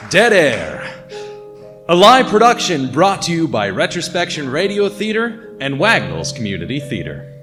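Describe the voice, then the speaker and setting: A man's voice: a little high, huge pitch swings, moderate pace. A man hosting live radio theater, announcing the show and cast in a theatrical style. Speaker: host and announcer. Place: library venue with audience. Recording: live stage, loud music and crowd.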